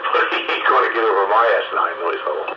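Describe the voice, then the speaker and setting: A man chatting casually over amateur radio in a folksy, wry, rambling way. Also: fairly low pitch. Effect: two-way radio transmission. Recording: muffled, echoey, noisy ham radio transmission. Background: clear radio static and noise.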